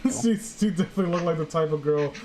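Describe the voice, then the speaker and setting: A woman's voice: lowish voice, fast talker, a crowd in the background. A woman hosting a casual comedic reading, joking crudely and irreverently as she riffs with friends. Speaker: host of a story-reading show.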